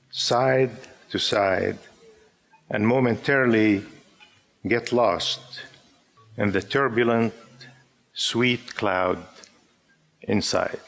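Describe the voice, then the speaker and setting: A man in his fifties from Syria, an Arabic speaker, reading his poems aloud to a live audience in a gentle, measured way. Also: fairly low pitch, gravelly. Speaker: poet. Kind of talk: poetry reading with spoken introductions. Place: live gathering before an audience.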